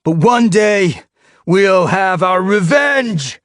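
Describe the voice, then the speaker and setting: A man voicing a fierce young male cat leader who yells and snarls harsh commands at his warriors in an audiobook. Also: high-pitched, expressive, very slow, no echo, studio quality, voice pushed up, heavily acted. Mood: rousing.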